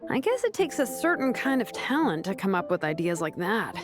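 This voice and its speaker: A woman's voice: medium pitch, big pitch swings, brisk, music in the background, lightly acted. A woman playing a friendly, easygoing young woman in an anime-style game, casual and upbeat.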